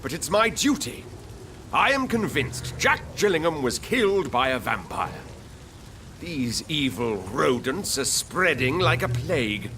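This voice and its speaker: A man's voice: fairly high pitch, very animated, a little slow, bright, forceful tone, heavily acted. An actor playing an earnest, jittery night patrolman and vampire hunter in a video game, with a theatrical manner. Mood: grave, determined. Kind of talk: video game dialogue acting. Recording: game dialogue with music behind.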